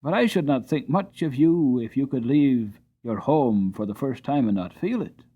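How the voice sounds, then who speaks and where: A man voicing a kindly older male coachman who speaks in a steady, encouraging way. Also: medium pitch, moderate pace, slightly rough, narrow, tinny audio.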